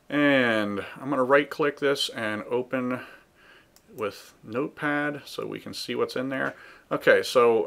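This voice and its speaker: A man hosting a casual, unscripted software walkthrough, halting and thinking aloud as he goes. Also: lowish voice, gravelly. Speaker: tutorial host.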